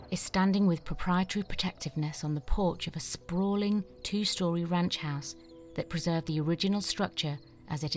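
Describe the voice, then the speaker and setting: A woman's voice: lowish voice, a little mellow. A woman narrator reading screenplay scene descriptions and stage directions as scripted narration, over music.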